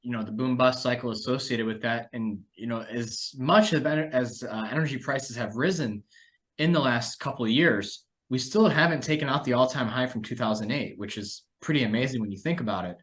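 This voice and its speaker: Guy hosting a markets podcast, normal mid pitch voice, measured, kinda thinking out loud while he talks. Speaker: host of a market analysis podcast. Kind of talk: conversational financial market commentary.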